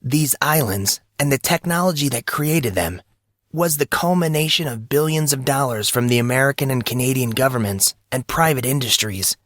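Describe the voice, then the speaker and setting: A man's voice: medium pitch, normal speed, a bit bright, no room sound, studio quality, voice pushed up, close to an everyday voice. A man voicing a smug, theatrical teenage boy news anchor, delivering grandiose oratory as a mock broadcast in an audio story.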